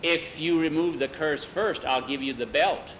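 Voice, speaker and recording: A man's voice: fairly high pitch, normal speed, a bit bright, a little hiss or hum, close to an everyday voice, rough recording. A man voicing a stubborn, mild young storybook hero in a simple, matter-of-fact way. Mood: firm. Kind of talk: storybook character voice.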